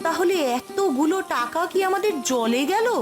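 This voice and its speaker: Anxious housewife, high-pitched, very animated, questioning, cartoon, clear background music. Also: female voice, normal speed. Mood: alarmed. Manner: fretful, questioning. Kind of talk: cartoon voice acting.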